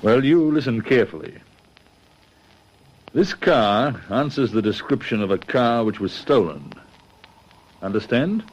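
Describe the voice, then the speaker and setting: An actor playing a stern, wary Australian police constable who questions deliberately in a radio detective drama. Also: male voice, medium pitch, animated, muffled recording. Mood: stern, probing.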